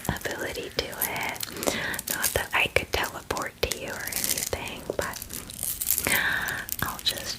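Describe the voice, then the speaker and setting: A woman's voice: natural voice, poor audio. A woman voicing a friendly, doting female dragon in a whispered ASMR fantasy roleplay, with gushing, hesitant, filler-heavy chatter. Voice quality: breathy whisper.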